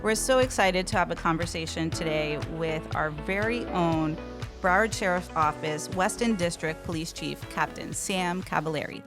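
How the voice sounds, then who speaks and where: A woman's voice: fairly low pitch, normal speed, over music. A woman co-hosting a podcast for a city communications team, upbeat and affirming in casual talk.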